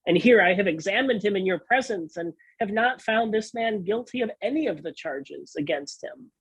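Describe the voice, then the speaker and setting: Pastor reading scripture dramatically. Husky voice, medium pitch, dry close sound. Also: lively intonation, normal speed, a bit bright, dry recording, poor audio.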